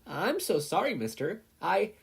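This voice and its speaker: A man's voice: high-pitched, sing-song, measured pace, creaky, pitched above the performer's own voice, exaggerated voice. A man voicing a meek, polite and earnest small boy in an audiobook-style story. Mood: timid, apologetic. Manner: hesitant, stammering when scared or groggy.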